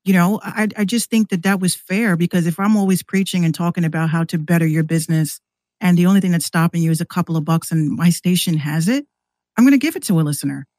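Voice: mid-range voice, fast, a little mellow, no echo. A podcast guest who hosts a show, talking casually and at length in a rambling, conversational way.

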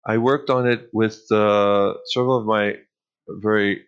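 A middle-aged man with a light Israeli accent, answering interview questions thoughtfully with hesitant pauses. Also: fairly low pitch, fairly even intonation, clean tone, muffled recording. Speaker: guest scholar.

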